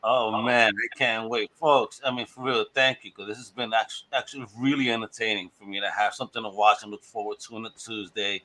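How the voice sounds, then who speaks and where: Guy hosting a podcast, really bright punchy voice, slangy and effusive casual chat. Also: fairly low pitch, steady tone, a little fast, few pauses, rough recording. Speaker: podcast host.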